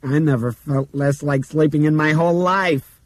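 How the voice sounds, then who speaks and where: A man's voice: a little high, steady tone, moderate pace, no room sound, voice pushed up, lightly acted. A man voicing a frightened young woman passenger who speaks anxiously and pleadingly.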